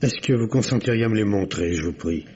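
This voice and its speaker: Husky, highly animated, flamboyant private secretary. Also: male voice, quick pace. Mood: wistful, obsequious. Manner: effusive, dramatic, indignant outbursts, stammering. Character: flamboyant, theatrical, high-strung male private secretary and costumier. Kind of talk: French radio mystery play acting. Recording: dull vintage radio recording.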